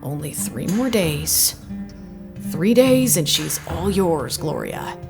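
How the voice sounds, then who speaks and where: A woman's voice: deep voice, big pitch swings, slow pace, gravelly, music in the background, full character voice. A woman playing a weary character in an audio drama monologue.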